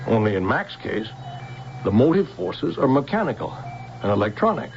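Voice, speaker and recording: An older, protective American scientist in a vintage radio drama. His voice swings expressively and has a gravelly grain, gentle and earnest with hesitant pauses. The recording is dull, like an old broadcast. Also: mid-range voice, big pitch swings, slight background noise. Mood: earnest, explaining. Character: protective older scientist, tender fatherly guardian to a young woman.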